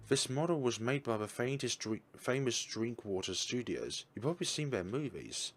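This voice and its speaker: A man reading a visual novel aloud for his channel, in a steady voice with some slips and restarts. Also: mid-range voice, moderate pace. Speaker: game reader.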